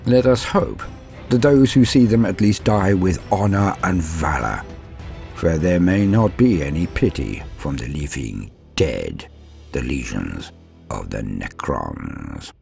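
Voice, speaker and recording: A man narrating a script dramatically, in a grave, theatrical, measured storyteller's manner. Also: very deep voice, animated, creaky, darkish tone, faint music behind. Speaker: lore channel narrator and host.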